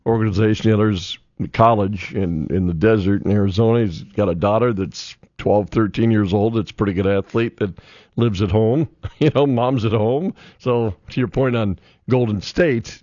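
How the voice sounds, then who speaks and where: A man's voice: fairly low pitch, moderate pace, darkish tone, no echo, highs cut off. A man co-hosting a casual morning radio chat, rambling and restarting his sentences, often laughing. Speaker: radio show co-host.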